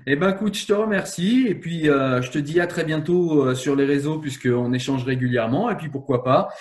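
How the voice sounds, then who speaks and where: A man's voice: fairly high pitch, brisk. A man hosting a casual interview for his book channel, thoughtful, with fillers and repeated words.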